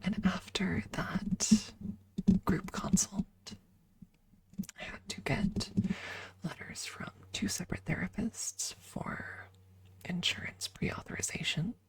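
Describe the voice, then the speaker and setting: A woman ASMR streamer speaking in a breathy up-close whisper, softly and deliberately telling casual stories to viewers right at the microphone. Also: on the low side. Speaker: ASMR livestreamer.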